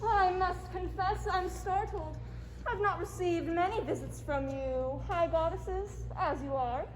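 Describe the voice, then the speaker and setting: Very high, arch love goddess played by a woman. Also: measured pace. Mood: mock-gracious. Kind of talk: theatrical stage acting. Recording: echoey stage, faint noise.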